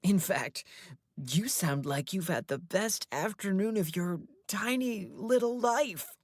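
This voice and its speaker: A woman doing a controlling male closet creature, sweet-talking and threatening by turns, pitched above her own voice and pushed louder. The voice is dry and close, with no room around it. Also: lively intonation, takes it slowly, dry recording. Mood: menacing. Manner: coaxing then threatening, controlling.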